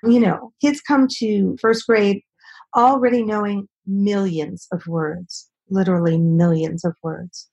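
A woman speech and language specialist, speaking as an interview guest and explaining with calm reassurance. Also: medium pitch, unhurried, gravelly, husky, poor audio. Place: Southern California.

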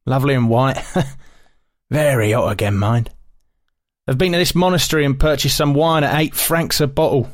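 A man's voice: mid-range voice, normal speed, no echo, studio quality, close to an everyday voice. An actor playing a cheerful British sergeant major reading his wartime diary in short, chatty phrases, savouring small pleasures. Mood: cheerful, contented.

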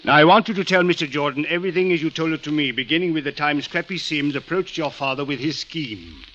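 A stern police captain from a vintage radio play, formal and precise with an impatient, commanding edge. The voice sits slightly high, on a muffled old recording with faint noise. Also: male voice, lively intonation, fast talker, bright, forceful tone. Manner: formal, precise, authoritative, without contractions. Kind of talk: old-time radio drama acting.

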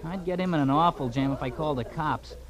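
An actor playing an earnest, worried newsboy, hesitant and slangy, in a 1940s radio drama. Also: male voice, a little high, fast, highs cut off, lightly acted. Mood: anxious, earnest. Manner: hesitant, slangy, plain-spoken. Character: worried, earnest streetwise newsboy, polite to adults. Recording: vintage radio, crowd murmur behind.

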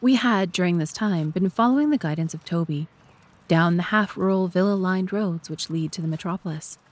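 A woman's voice: lowish voice, moderate pace. A woman narrating a scripted audiobook story.

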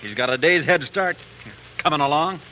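An actor playing a grim, urgent frontier neighbor in 1940s radio drama style, with clipped delivery. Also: male voice, fairly high pitch, moderate pace, pushed and bright, slight background noise, poor audio.

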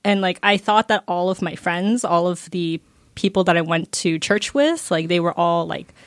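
A woman with a slightly low voice shares observations in a casual discussion. Her delivery is halting and full of fillers. The recording is dry. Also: dry recording. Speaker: book discussion panelist. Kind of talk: casual chat, personal anecdotes.

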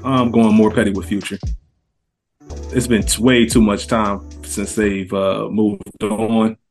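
A man speaking as a guest on a casual podcast, laying out his view in a measured way with frequent fillers. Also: fairly low pitch, level tone, a little mellow, soft background music.

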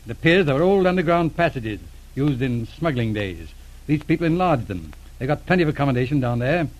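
An actor playing a steady, practical mounted police inspector, speaking in clipped, matter-of-fact sentences in an old-time radio drama. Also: male voice, mid-range voice, brisk, close to an everyday voice, low-quality recording.

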